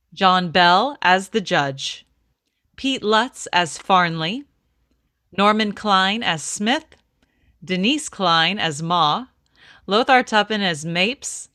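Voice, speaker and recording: A woman's voice: on the low side, bright, forceful tone, no echo, clean studio sound, full character voice. A woman announcer reading scripted credits in a formal, proclaiming 1950s network radio style.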